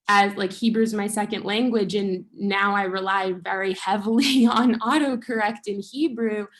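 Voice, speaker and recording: An American woman in the audience asks a question in an academic discussion. She pauses often on ums and lets her sentences trail off softly. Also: medium pitch. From United States. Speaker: audience member asking a question.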